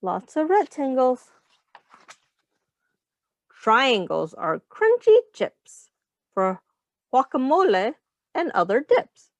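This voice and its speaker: A woman reading a picture book aloud to young children as a teacher, explaining simply and pausing to ask questions. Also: high-pitched, sing-song, no room sound, lo-fi sound.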